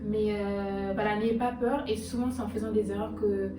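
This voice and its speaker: A woman's voice: medium pitch, fairly even intonation, normal speed, distant mic. A woman whose first language is Bambara, chatting casually and encouraging viewers, with many hesitant fillers. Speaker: guest content creator. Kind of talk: casual chat. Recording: echoey room, off-mic, music behind.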